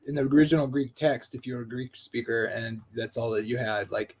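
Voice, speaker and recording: A husky-voiced man talks through a text in a relaxed discussion. He reads passages aloud, then thinks out loud with many pauses and fillers, at a middling pitch.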